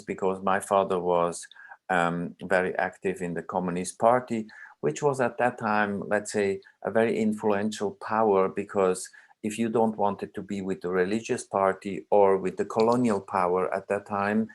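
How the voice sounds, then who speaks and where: A man in his sixties, originally from Iraq, speaking as a film director at a remote panel, slow and halting with many fillers and restarts. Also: on the low side.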